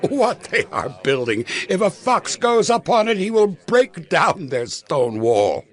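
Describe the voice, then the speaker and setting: Man acting a high-voiced sneering sidekick. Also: big pitch swings, unhurried. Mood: gleeful derision. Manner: derisive, taunting. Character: sneering male sidekick of a hostile ruler. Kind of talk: dramatized audio Bible.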